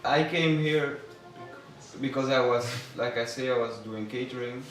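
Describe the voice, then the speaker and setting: A man's voice: medium pitch, a little slow. A man answering interview questions in a rambling way, with restarts, about his past as a chef. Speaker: chef turned label member. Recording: echoey room, distant mic, music behind.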